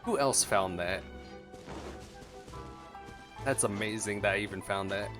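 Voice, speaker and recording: Low-pitched man reacting to a game. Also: a little slow. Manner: rambling, thinking aloud, playful. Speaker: gameplay streamer. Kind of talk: casual gameplay reaction commentary. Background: game music.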